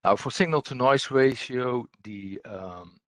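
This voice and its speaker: A man giving an explanatory technical presentation as a trainer, unscripted, in a halting, hesitant way with repeated words. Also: mid-range voice, no room sound.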